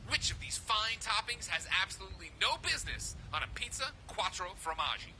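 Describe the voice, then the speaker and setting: A man's voice: high-pitched, sing-song, fairly quick, bright, forceful tone, heavily acted, poor audio. A man playing a polite, formulaic announcer and operator in a game, with formal scripted courtesy. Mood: showy courtesy. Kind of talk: game character acting. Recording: clear background hum and ambience.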